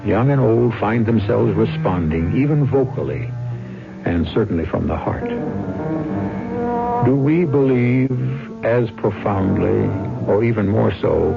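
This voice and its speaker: A man's voice: fairly low pitch, creaky. A man hosting a radio mystery drama, narrating in a grave, theatrical, literary manner. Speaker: host and narrator. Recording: muffled old radio broadcast. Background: music.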